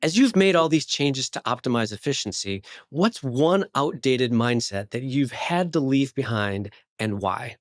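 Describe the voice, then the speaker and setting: A man's voice: medium pitch, lively intonation, a little slow, hardly any pauses, no room sound, studio quality. A man hosting a podcast interview, putting questions to his guest. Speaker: podcast host.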